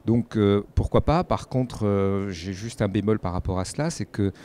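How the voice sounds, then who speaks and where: A man giving measured, explanatory spontaneous answers as a local politician in an interview. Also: fairly low pitch, warm tone. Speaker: mayor and head of a local authority, interviewee.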